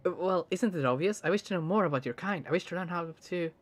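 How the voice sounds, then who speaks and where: A gentle, awkward alien man, read aloud by a streamer playing a game. He chooses each word with stiff formality and trips over a few of them. His tone stays earnest and eager to please. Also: medium pitch, quick pace, close to an everyday voice. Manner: stiff, overly formal phrasing with hesitant restarts. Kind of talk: reading game dialogue aloud during a let's play.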